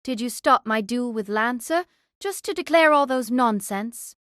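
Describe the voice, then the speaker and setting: Lady doing a proud young knight girl, solemn and formal, highish voice, dry sound. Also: expressive, a little slow, a bit bright, no room sound. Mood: indignant. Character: stern, proud young female knight king spirit. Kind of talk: audio drama acting.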